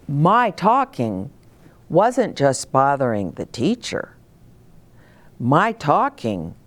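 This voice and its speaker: Older lady doing story time for little kids, takes it real slow, voice swings up and down a lot. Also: deep voice. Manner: simple, expressive, addressing children directly, with dramatic pauses. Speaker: children's story time host. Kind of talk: storytelling and advice to young children.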